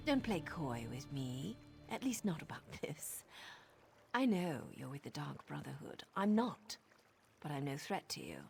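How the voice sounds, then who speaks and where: An actress playing a playful, sly, self-assured assassin in a fantasy video game, teasing and flirtatious. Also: female voice, on the low side, creaky.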